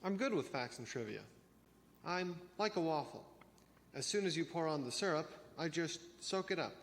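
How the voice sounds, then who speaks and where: A man's voice: fairly high pitch, lively intonation, normal speed. A young man playing a bookish teenage trivia lover in a church reader's theater, in an echoey hall with some noise. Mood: eager, informative. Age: teenager or young adult. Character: bookish teenage boy who loves trivia.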